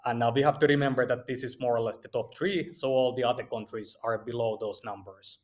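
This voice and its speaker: A male analyst on a business webinar, reading out results at a middling pitch, pausing often on ums and uhs. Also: fairly even intonation, a little mellow. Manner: halting, many filled pauses. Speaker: research analyst, interviewee. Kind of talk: presenting findings and figures.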